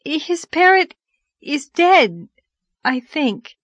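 A high, swooping voice for a sweet young schoolteacher in a storybook , acted by a woman narrator. She is dreamy, easily flustered and earnest, with breathless exclamations, in a close dry recording. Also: animated, unhurried, fairly bright tone, no room sound, muffled recording. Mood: flustered, astonished. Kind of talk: audiobook character voice.

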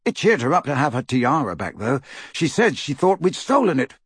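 A man voicing an easygoing young adult male wizard with a brisk, chatty delivery in an audiobook. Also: mid-range voice, very animated, fairly bright tone, slightly rough, no echo, studio quality. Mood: chatty, reassuring.

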